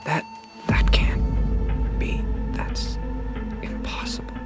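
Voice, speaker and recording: An actor playing an agitated, disbelieving teenage boy in an audio drama. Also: extremely slow. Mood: stunned disbelief. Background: prominent scary music.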